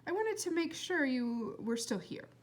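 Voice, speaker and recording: A woman's voice: sits high, very animated, unhurried, voice pushed up. A woman reading a storybook as a precocious young boy, formal and self-important, with wordy, precise diction. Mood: earnest, formal.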